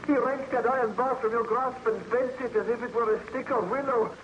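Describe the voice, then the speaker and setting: A man's voice: extremely high pitch, fairly even intonation, fairly quick. A man playing a baron's anxious, cautious friend in an old-time radio drama, speaking with dramatic urgency. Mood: alarmed. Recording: echoey, tinny, noisy old radio recording.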